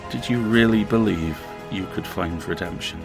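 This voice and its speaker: An actor playing an ominous, grave narrator in a trailer for a dark audio thriller, speaking deliberately. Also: male voice, deep voice, creaky. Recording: dramatic music bed behind.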